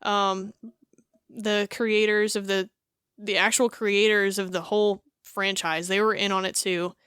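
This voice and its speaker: A woman's voice: mid-range voice, level tone, a little slow, fairly bright tone, clean tone, no room sound, studio quality. A woman co-hosting a podcast in casual chat, emphatic and rambling, acting out imagined dialogue. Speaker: podcast co-host.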